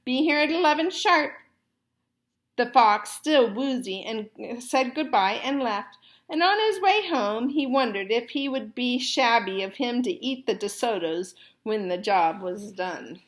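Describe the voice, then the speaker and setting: A woman storyteller and teacher reading aloud to children expressively, with deliberate phrasing. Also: fairly high pitch, expressive.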